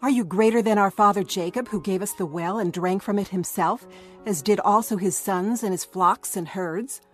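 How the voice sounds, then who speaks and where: A woman's voice: medium pitch, normal speed, faint music behind, lightly acted. An actress playing a forthright, questioning village woman in a dramatized scripture reading. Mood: challenging, skeptical.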